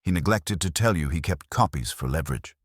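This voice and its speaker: A man's voice: extremely low pitch, animated, a little fast, breathy edge, no room sound, clean studio sound, heavily acted. A man voicing a shrewd, commanding master detective in an audiobook, speaking formally, precisely and with authority. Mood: stern, methodical.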